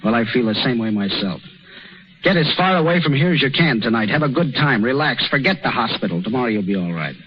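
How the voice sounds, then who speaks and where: An actor playing an earnest, defiant young hospital doctor in 1930s radio drama style, giving urgent orders and gentle reassurance. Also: male voice, medium pitch, expressive, fast talker, lightly acted. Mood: kind, earnest. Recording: muffled, noisy 1930s radio broadcast.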